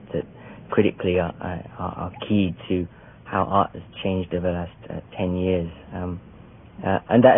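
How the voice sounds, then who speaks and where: Husky low-voiced male editor. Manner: hesitant, many ums and restarts. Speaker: magazine editor and artist. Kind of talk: interview answers. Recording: tinny phone-like radio sound.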